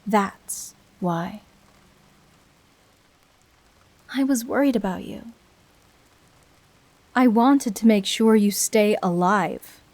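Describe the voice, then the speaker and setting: A woman playing a bitter, protective fallen hero turned villain in an emotional confessional audio roleplay, speaking deliberately with dramatic pauses. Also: mid-range voice, darkish tone. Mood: tender, confessional. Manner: deliberate, dramatic, pausing between short phrases.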